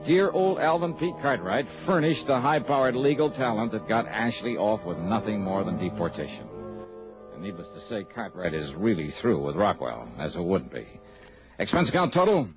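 A man's voice: lowish voice, sing-song, moderate pace, over music. An actor playing a shrewd, wry, self-assured male private investigator in a 1960s radio drama. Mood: satisfied. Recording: muffled old radio broadcast recording.

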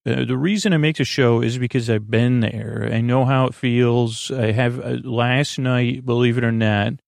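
A man with a creaky, gravelly voice, hosting a bedtime podcast with a meandering, halting ramble full of tangents. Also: mid-range voice, steady tone, no echo, clean studio sound. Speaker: podcast host. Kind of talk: rambling bedtime podcast intro, casual monologue.

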